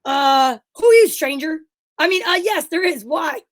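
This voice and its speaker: A woman playing a bossy, exasperated male straight man in an old-time radio comedy, with brisk, scolding delivery. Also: high voice, expressive. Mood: brisk, curious.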